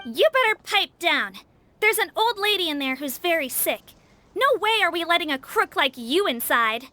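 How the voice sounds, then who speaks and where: A plucky little female guard in a video game. The voice is very high, bright and animated, tough and protective. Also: normal speed, full character voice. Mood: stern. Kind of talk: video game character dialogue.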